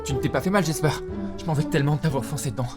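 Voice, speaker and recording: A man's voice: on the high side, rapid-fire, over loud music, lightly acted. A man voicing a kind, apologetic teenage schoolboy in a French anime fan dub. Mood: concerned, apologetic.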